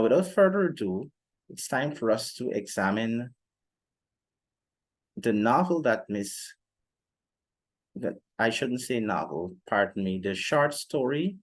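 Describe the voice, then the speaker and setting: A young man giving an explanatory lecture in a formal, deliberate manner, pausing often between phrases. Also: mid-range voice, sing-song. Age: young adult. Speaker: literature teacher presenting a lesson. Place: indoor room, seated before a plain wall.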